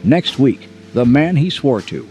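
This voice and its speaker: A man's voice: mid-range voice, big pitch swings, measured pace, over music. A man reading a scripted closing announcement for an audio drama as its announcer. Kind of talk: scripted show outro announcement.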